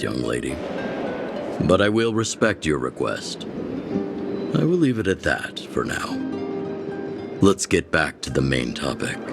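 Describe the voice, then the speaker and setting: A man playing a gruff, composed resistance chief in a game cutscene, measured and wry, blunt but courteous. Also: a bass voice, full character voice. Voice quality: gravelly. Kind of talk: dramatic game cutscene acting. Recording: loud music behind. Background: loud background music.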